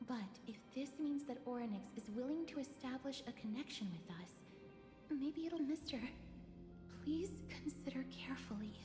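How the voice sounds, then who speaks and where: Gentle, high-voiced young heroine. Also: female voice, animated, moderate pace. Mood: gentle pleading. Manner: soft, careful, hesitant delivery. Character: gentle, polite young woman, earnest and hesitant. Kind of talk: dramatic video game voice acting. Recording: echoey reverberant hall, music underneath. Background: background music.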